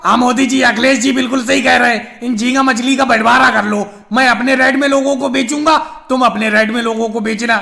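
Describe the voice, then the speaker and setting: A man voicing a stubborn, argumentative male politician selling fish in an animated comedy skit. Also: very high-pitched, brisk, creaky, few pauses, exaggerated voice. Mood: defiant, stubborn.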